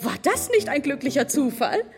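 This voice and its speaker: A woman's voice: sits high, sing-song, moderate pace, over music. An actress playing a self-important married society woman in a radio drama, effusive and affected, with emphatic delivery. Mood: self-satisfied.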